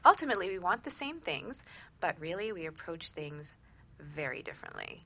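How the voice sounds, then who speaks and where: A woman's voice: lowish voice, big pitch swings, moderate pace, pushed and bright, slight background noise. A woman hosting a radio talk show in a conversational style.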